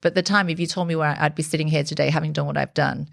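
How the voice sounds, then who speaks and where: A woman's voice: deep voice, fairly even intonation, rapid-fire, creaky, no room sound, clean studio sound. A woman in her forties, a startup founder, talking candidly and reflectively as a podcast guest, with frequent restarts. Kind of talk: conversational podcast interview.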